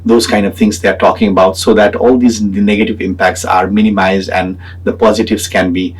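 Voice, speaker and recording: A man with a Nepali accent explaining his research as a graduate student in a halting way, pausing often as he talks. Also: on the low side, little pitch movement, slightly rough, rough recording. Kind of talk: semi-prepared explanatory talk about own research.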